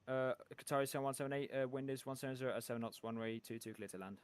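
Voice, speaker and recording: Male controller, flight-sim radio calls; smooth, clear tone, flat delivery, halting with frequent pauses. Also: mid-range voice, no echo. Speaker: air traffic controller in an online flight simulation game. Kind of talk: radio traffic control phraseology.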